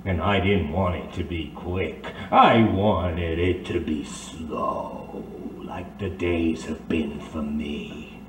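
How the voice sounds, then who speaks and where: Slow, menacing, vengeful crime boss. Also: male voice, sits low, steady tone. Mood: bitter, menacing. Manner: menacing, theatrical, swinging from shouts to whispers. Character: disfigured vengeful crime boss, bitter and unhinged. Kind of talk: old-time radio detective drama acting. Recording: echoey room, faint background noise.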